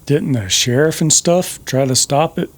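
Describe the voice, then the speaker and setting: A man's voice: a little high, unhurried, voice pushed up. A man playing a laconic, casual cowboy back from the trail in an old-time Western radio drama. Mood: probing.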